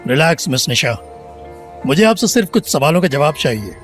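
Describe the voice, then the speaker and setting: A confident police officer in his twenties for an audio drama. His voice sits a little high and moves expressively. He speaks formally and with authority, slipping into English. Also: sing-song, a little fast, soft background music. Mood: smooth, probing. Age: young adult. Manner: formal, commanding, mixes English into speech.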